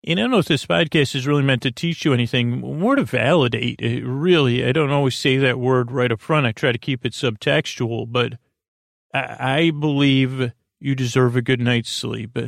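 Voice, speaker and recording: Gravelly, low-key male podcast host. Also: no room sound, studio quality. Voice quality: gravelly, creaky. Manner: meandering, halting, often trailing off and backtracking. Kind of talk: rambling bedtime podcast monologue, addressed to the listener.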